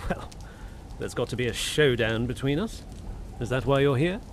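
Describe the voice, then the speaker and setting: Male actor for a wry, bold young gentleman in radio suspense, challenging, with lively swings in pitch. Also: moderate pace, slight background noise, natural voice. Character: bold, sardonic young gentleman suitor, a lord. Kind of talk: radio suspense drama acting.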